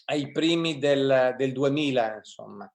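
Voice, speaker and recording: Slow, halting Italian-speaking man. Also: medium pitch, creaky. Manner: halting, many pauses and fillers. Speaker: guest. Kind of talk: live online panel conversation.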